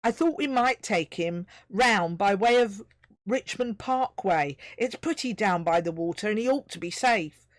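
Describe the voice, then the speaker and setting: A woman voicing a tiny, excitable male magical being, blurting and gushing. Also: fairly high pitch, slow pace, few pauses, no room sound, pitched above the performer's own voice. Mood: smug.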